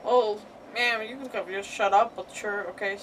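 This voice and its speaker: A woman streamer giving casual gaming commentary in a rambling, halting way, often searching for words. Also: medium pitch, fairly even intonation, fairly bright tone. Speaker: live streamer playing a video game. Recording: distant mic, faint game noise behind. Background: video game audio.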